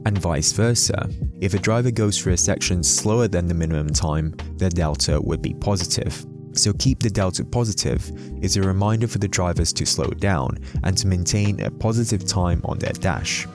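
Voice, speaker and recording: A man's voice: deep voice, normal speed, over music. A man giving a scripted explainer narration as the host of a video.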